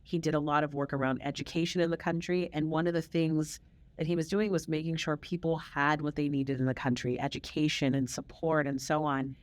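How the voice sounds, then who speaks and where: A middle-aged woman hosting an interview, telling personal stories in a reflective way and repeating words for emphasis. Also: deep voice. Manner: reflective storytelling, warm emphatic repetition. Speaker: podcast host. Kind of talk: casual conversational interview.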